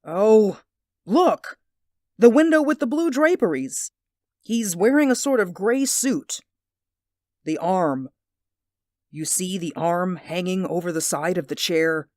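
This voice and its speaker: Woman playing an anxious, animated man in radio drama. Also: fairly low pitch, slow pace, long pauses, dry recording, studio quality. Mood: excited, insistent. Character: anxious, insistent male stage actor spying on neighbours.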